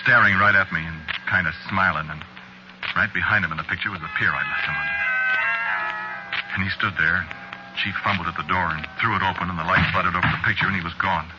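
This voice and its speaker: A man's voice: low-pitched, steady tone, fast talker, muffled recording, close to an everyday voice. An American actor playing a guilty, brooding murderer who tells his own story in a colloquial, hesitant way in an old-time radio suspense play. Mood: haunted, regretful. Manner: colloquial, hesitant, broken-up musing delivery. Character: guilty murderer narrating his crime, brooding, uneasy ordinary fella. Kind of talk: old-time radio suspense acting, first-person confessional narration. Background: loud music.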